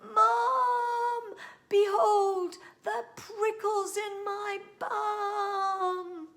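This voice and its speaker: A woman's voice: extremely high pitch, flat delivery, drawn-out words, creaky, a bit bright, voice pushed up, full character voice. A woman voicing a frantic little girl with prickles stuck in her backside, shrieking and pleading in a storybook reading. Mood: panicked pleading.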